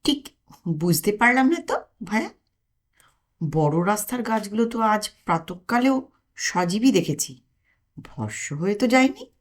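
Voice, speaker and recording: A woman voicing a skeptical, cautioning male Brahmin scholar with measured, questioning, learned wording in a comic audio story. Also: medium pitch, very animated. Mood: doubtful.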